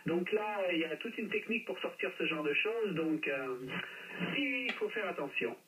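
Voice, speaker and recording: A man chatting casually as a radio operator, pausing often and tagging his phrases, heard through a radio transmission. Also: sits high, expressive, sharp tone, a little hiss or hum. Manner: hesitant phrasing with constant "hein" tags. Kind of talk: casual radio chat about a hobby. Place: playback from speakers captured by a phone. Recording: radio reception re-recorded off speakers, distant.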